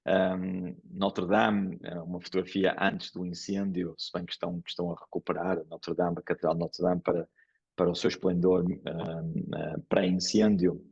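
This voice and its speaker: Deep gravelly man with an Italian accent. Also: flat delivery, normal speed, darkish tone. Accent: Italian with English and Porto traces in Portuguese. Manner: hesitant, many fillers and restarts. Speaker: engineering professor giving a conference talk. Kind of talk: academic lecture with slides. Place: lecture hall. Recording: dull lo-fi lecture recording.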